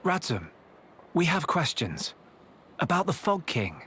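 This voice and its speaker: A man's voice: fairly high pitch, huge pitch swings, a little slow, a bit bright, breathy edge, heavily acted. A man playing an earnest, polite and resolute young hero in a video game. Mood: polite, attentive.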